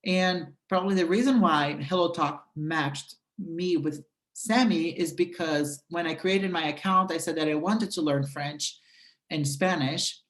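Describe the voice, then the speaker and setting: A speaker whose first language is Portuguese walks through an app step by step, explaining in a halting way with frequent fillers. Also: medium pitch, a bit bright. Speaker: presenter demonstrating a language-exchange app. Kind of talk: screen walkthrough tutorial. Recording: roomy echo.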